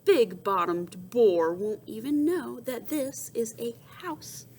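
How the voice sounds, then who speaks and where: A woman voicing a small, plucky young male alligator from a storybook, calling out defiantly in a squeaky little voice. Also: lively intonation, slow pace, darkish tone. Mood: gleeful. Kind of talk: storybook read-aloud.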